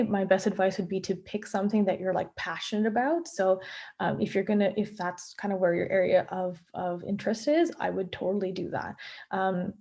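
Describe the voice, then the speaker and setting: A woman's voice: mid-range voice, mellow. Young woman from Canada, family doctor, loose chatty Q&A delivery full of fillers, mid-range voice, video call sound. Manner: chatty, many fillers and restarts. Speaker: family physician answering student questions. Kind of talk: casual Q&A in a virtual session.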